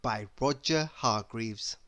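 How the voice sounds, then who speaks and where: A storybook narrator reading a children's tale aloud in an animated, expressive way. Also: mid-range voice, slow. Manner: animated, expressive, with emphatic sound words. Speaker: storybook reader.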